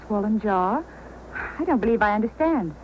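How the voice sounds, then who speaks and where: Woman playing spirited music hall lady, mid pitch, theatrical old radio drama, slight noise. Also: lively intonation, normal speed, darkish tone, close to an everyday voice. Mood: bright, sociable. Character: poised, spirited female music hall performer. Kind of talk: old-time radio acting. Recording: faintly noisy old radio recording.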